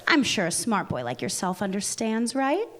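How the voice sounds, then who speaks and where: A woman's voice: medium pitch, sing-song, normal speed, a bit bright. An actress reading a seductive female vampire neighbor at a live table read, purring and mock-sweet. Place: live venue.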